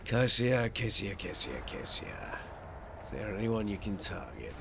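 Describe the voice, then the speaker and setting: A man's voice: lowish voice, big pitch swings, a little slow, gravelly, background noise. A man doing casual commentary as he plays a video game, muttering to himself. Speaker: gamer, playthrough host.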